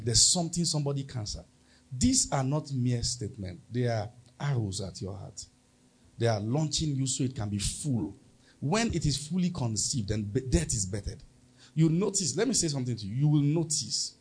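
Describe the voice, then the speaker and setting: A man's voice: medium pitch, lively intonation, moderate pace, husky. A man with a Nigerian accent preaching a sermon as pastor, emphatic and leading the congregation in call-and-response.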